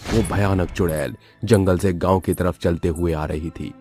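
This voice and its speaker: A man's voice: deep voice, steady tone, moderate pace, music in the background. A man reading scripted narration for a horror story.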